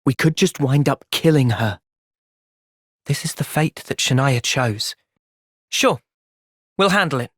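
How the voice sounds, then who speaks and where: A young man playing a thoughtful, earnest young soldier in video game cutscenes, speaking in a gentle, reflective manner. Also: mid-range voice, animated, unhurried, long pauses, husky, no room sound, studio quality. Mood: calm, thoughtful.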